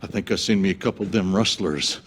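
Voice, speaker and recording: A man's voice: deep voice, quick pace, slight background noise, lightly acted. Man acting an eager young Southern deputy. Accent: American Southern. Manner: deferential, earnest, slightly hapless. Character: eager, earnest, fussy young frontier deputy. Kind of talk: video game cutscene acting.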